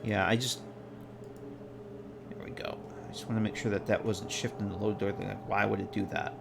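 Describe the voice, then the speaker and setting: A man's voice: on the low side, steady tone, a little fast, creaky, background noise. Tired, congested man thinking aloud. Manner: rambling, thinking aloud, self-correcting. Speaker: modding streamer. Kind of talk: casual live-stream commentary while working.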